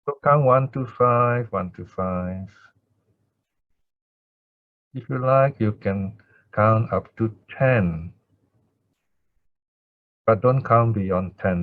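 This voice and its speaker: A man from Singapore who teaches Buddhist meditation, speaking or chanting in calm, measured, short phrases with pauses. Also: on the low side. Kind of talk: Pali chanting and slow guided breath meditation. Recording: thin phone-like audio, very dry.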